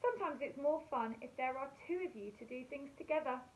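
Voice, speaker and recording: A woman's voice: high-pitched, expressive, a little fast, a little mellow, rough recording. A woman voicing a big female dog in a storybook, bossy but caring, with an emphatic, exclaiming delivery. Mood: regretful.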